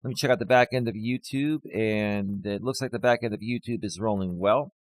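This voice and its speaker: A man hosting his own live stream as a life coach, talking casually to viewers with a slightly hoarse voice and lots of fillers. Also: on the low side, a little fast, no echo. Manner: rambling, many fillers, talks straight to viewers.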